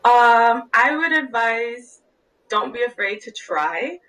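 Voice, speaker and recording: Young woman, slightly off-mic, higher voice. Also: animated, slow pace, sharp tone, recorded from a distance, low-quality recording. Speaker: intern guest. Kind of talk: casual interview answer.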